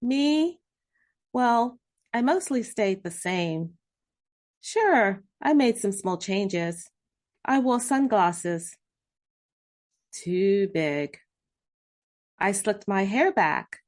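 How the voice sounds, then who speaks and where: A woman narrating a children's storybook, reading expressively with drawn-out emphasis and dramatic pauses. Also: medium pitch, expressive, darkish tone. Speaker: read-aloud host narrating children's books. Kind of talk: scripted children's storybook narration in first person.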